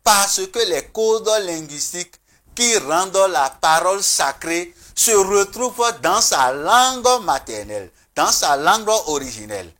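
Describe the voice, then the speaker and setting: A man speaking as a traditional spiritual guest on a TV talk show, earnest and emphatic, with pauses and restarts. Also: sits high, sing-song, bright, forceful tone.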